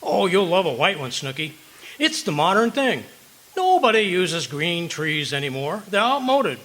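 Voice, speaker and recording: Man acting a blustery schemer husband, high sharp voice, indignant and folksy, old-time radio comedy. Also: big pitch swings, measured pace, exaggerated voice, low-quality recording. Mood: eager, scheming. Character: blustery, stubborn, penny-pinching husband, comic schemer. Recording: live stage performance with background noise.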